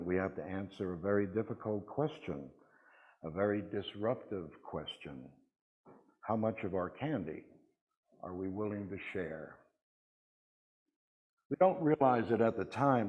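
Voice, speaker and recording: A man delivering a prepared, sermon-like reflection at a worship service in deliberate, rhetorical phrases with pauses. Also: on the low side, highs cut off.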